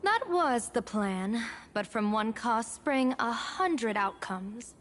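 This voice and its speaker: An actress playing an amused, enigmatic general and diviner in a video game, speaking in a composed, formal, theatrical way. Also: female voice, fairly high pitch, expressive, takes it slowly, a little hiss or hum. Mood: playfully scheming. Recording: game music behind the voice.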